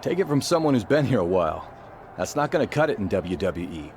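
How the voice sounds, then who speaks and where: A seasoned wrestler in a video game, frank and protective. His voice is fairly low and earnest, with faint ambience around it. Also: very animated, a little fast, darkish tone, a little hiss or hum. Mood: earnest, concerned. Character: steady veteran male wrestler, protective would-be tag partner. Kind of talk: video game cutscene acting.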